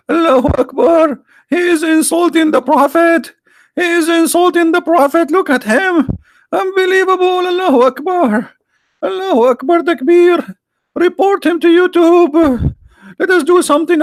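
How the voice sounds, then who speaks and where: A man's voice: very high-pitched, moderate pace, no room sound, pitched above the performer's own voice. A man putting on a shrieking, outraged man in a frantic, mocking caricature.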